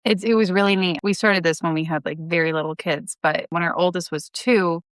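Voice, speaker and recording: A woman in her thirties talks as a podcast guest. Her voice is clear and resonant, sitting a bit low. She is enthusiastic and likes to stress a point, and the sound is close and dry. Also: fairly even intonation, fairly quick, no echo. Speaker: podcast guest, audio drama writer. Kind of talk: conversational interview answers.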